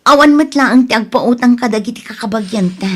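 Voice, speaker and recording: A woman's voice: a little high, fairly quick, lightly acted. A woman playing a worried, scolding but practical wife in an Ilocano radio drama, with an exasperated, admonishing manner. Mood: resigned, practical.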